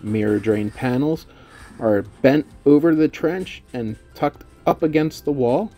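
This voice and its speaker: A man's voice: mid-range voice, a little slow, dark tone, soft background music. A man giving scripted instructional narration as a contractor, in a matter-of-fact, technical way. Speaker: contractor narrating company's repair work.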